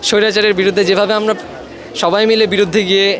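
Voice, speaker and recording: A man's voice: sits high, little pitch movement, racing, a bit bright. A young man with a Bangladeshi accent, a student giving a vox pop interview. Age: young adult. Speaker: student visitor. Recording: noisy crowded public space.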